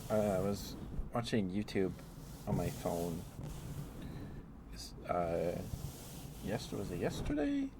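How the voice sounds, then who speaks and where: Male ASMR streamer, low-pitched, casual and rambling, with noticeable background noise. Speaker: livestreamer.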